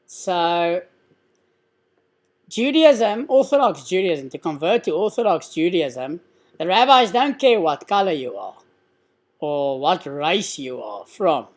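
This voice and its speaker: A man from South Africa with an Afrikaans accent, hosting a casual live stream Q&A and explaining things conversationally with frequent fillers. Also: high-pitched. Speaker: live stream host answering questions.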